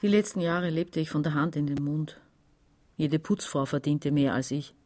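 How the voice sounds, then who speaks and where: An actress playing a resentful, calculating daughter in her forties, giving a measured, confessional radio-drama monologue. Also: low-pitched, warm tone, natural voice. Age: middle-aged. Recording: ambient noise behind the voice.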